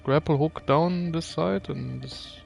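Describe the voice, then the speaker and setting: Slow-talking man commenting on a game. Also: fairly high pitch, gravelly, a little mellow, soft background music. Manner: muttering, wry, thinking aloud. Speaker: gaming video host. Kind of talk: casual gameplay commentary.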